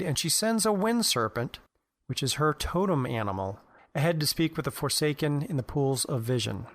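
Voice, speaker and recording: A man's voice: a little high, dry recording. A man presenting a prepared commentary on fantasy game lore for a podcast, in explanatory fashion.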